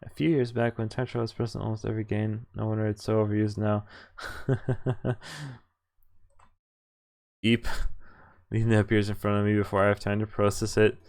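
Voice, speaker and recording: Low-pitched young man streaming a visual novel. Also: level tone, fairly quick, vocal fry, long pauses, darkish tone. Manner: informal, stumbles and rereads while sight-reading. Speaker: game streamer. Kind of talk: live let's play, reading game text aloud with casual commentary. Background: faint game music.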